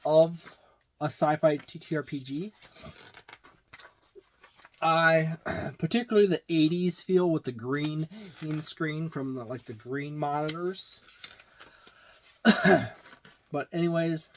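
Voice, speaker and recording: A middle-aged man giving a casual, halting, matter-of-fact unboxing and review, recorded in a small room. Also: fairly high pitch. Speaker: tabletop game reviewer. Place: small indoor room with packed shelves.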